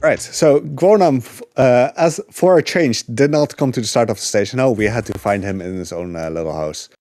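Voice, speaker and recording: A man giving relaxed live commentary on his speedrun, explaining the route with frequent fillers. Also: mid-range voice, moderate pace, dry recording, soft background music.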